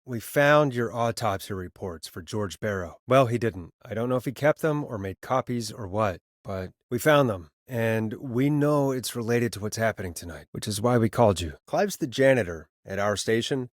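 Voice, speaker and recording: A man's voice: fairly low pitch, lively intonation, normal speed, hardly any pauses, no echo, natural voice. A man acting an earnest late-night radio host, close and dry. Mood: serious, probing. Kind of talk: video game voice acting, conversational radio drama.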